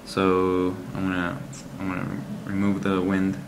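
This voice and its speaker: A man reviewing a game app, walking through its screens in a halting, explanatory way with frequent ums. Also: deep voice, completely flat delivery, noisy background.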